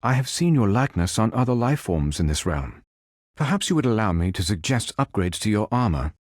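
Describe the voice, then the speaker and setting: A calm android superhero for a video game. The voice is low and lively in pitch, recorded very dry. He speaks with formal, precise, logical phrasing, like a machine of noble purpose. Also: brisk, dry recording. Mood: measured, composed. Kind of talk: video game character voice lines.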